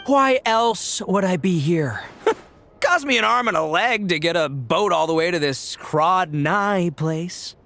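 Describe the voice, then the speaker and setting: A man voicing a brash, boastful male gang leader in a game, loud and swaggering with slangy talk. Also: high voice, lively intonation, takes it slowly, heavily acted. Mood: cocky. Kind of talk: game cutscene voice acting. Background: game music.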